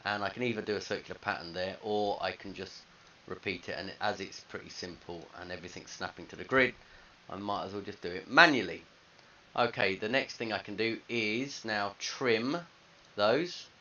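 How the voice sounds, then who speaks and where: A man's voice: on the low side, normal speed, bright, forceful tone. A man in his thirties talking casually through a step-by-step screencast tutorial as a teacher, thinking aloud into a headset microphone. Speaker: design teacher. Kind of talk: screencast software tutorial, informal step-by-step narration. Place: indoors at a computer. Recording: dull lo-fi headset audio, faint hiss.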